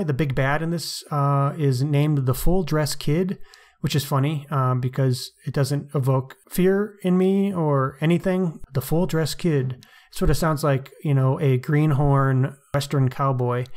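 A man with a slightly higher-pitched voice chats about books as a podcast co-host. He speaks in a casual, wry way and drops in slangy asides as he makes his points. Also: a little slow, a little mellow. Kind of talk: casual book discussion.

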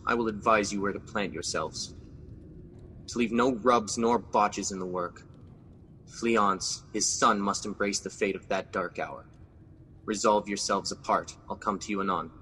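A man's voice: lowish voice, fairly even intonation, measured pace, bright, forceful tone, in a large hall, a little hiss or hum. An actor playing Macbeth, a guilt-ridden scheming king, in a classic radio drama. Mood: commanding. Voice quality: brooding, intense.

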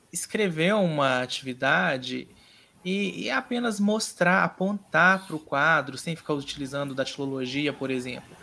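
A man teaching an online mini-course in a measured, explanatory way, often pausing on hesitation fillers. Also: on the high side, fairly bright tone. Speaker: course instructor.

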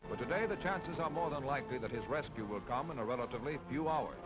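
A man's voice: mid-range voice, expressive, fast, over loud music, low-quality recording. An American man narrating a scripted 1940s military newsreel in a formal, declamatory announcer style on an old film soundtrack.

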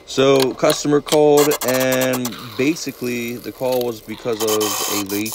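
A man's voice: medium pitch, level tone, takes it slowly. A young man working as a plumber, chatting casually and teasing his viewers while filming himself on a job inside a house. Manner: playful, teasing, calls viewers "baby". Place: basement and tiled bathroom in a house, indoors. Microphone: phone held or worn, first-person view. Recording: noisy job-site recording.

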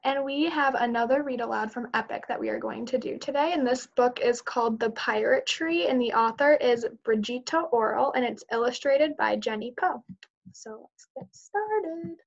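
A woman's voice: a little high, normal speed, creaky. A young woman, a teacher, reading a picture book aloud to children over a video call. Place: indoors, on a group video call. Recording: dull, muffled video call audio.

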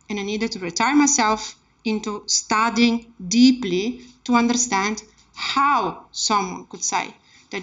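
A woman's voice: medium pitch, fairly bright tone. A woman giving an academic keynote talk as personal storytelling, with an emphatic, impassioned delivery. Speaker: keynote speaker, academic researcher. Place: indoor seminar room, speaking at a lectern. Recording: dull, muffled lecture-room recording.

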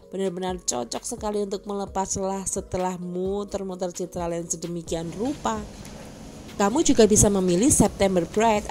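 A woman's voice: medium pitch, dark tone. A woman with an Indonesian accent giving an upbeat, scripted voice-over for a food vlog, reading out prices and menu items in lists. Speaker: food vlogger.

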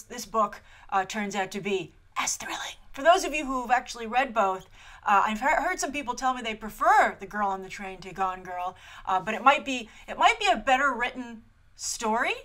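A woman in her thirties hosting a casual trailer reaction, animated and chatty, laughing often, filmed on a webcam in a small room. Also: on the high side. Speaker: movie trailer reviewer host.

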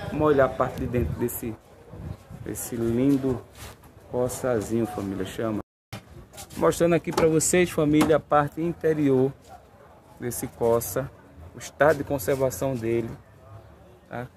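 A man's voice: mid-range voice, unhurried, pauses often, a little mellow, a little hiss or hum. A man filming a walkaround of cars for sale, upbeat and full of repeated catchphrases, recorded on a phone. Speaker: car fair vlogger. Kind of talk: hyped sales walkaround. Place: outdoor car lot. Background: crowd chatter at a car fair.

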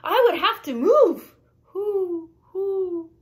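A woman voicing a gloomy storybook owl who grumbles with long hoots and drawn-out words. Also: very high voice, animated, voice pushed up, heavily acted. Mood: grumbling.

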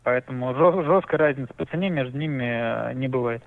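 A man working at a car dealership, giving brisk, matter-of-fact answers. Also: mid-range voice. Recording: tinny phone line.

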